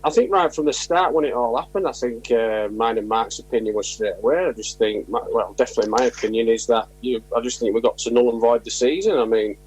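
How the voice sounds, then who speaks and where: A man's voice: medium pitch, fast, hardly any pauses, a little mellow, breathy edge, low-quality recording. A man giving blunt, conversational opinions as a football coach in a casual interview.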